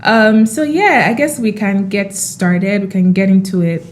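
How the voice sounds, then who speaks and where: A young woman hosting a casual podcast chat, bubbly and quick to laugh. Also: medium pitch, slight background noise. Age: young adult. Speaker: podcast host.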